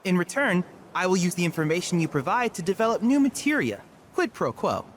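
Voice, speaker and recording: A man's voice: high-pitched, sing-song, fairly quick, a little hiss or hum. Precise, eager young researcher boy. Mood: earnest, businesslike. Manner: formal, precise, enthusiastic diction. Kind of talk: video game voice acting.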